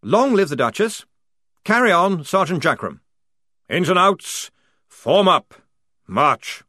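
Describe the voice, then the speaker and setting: A man's voice: high voice, big pitch swings, takes it slowly, bright, forceful tone, dry recording, studio quality, voice pushed up, exaggerated voice. A man voicing a fussy, nervous young army lieutenant who stammers and frets politely. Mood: hesitant.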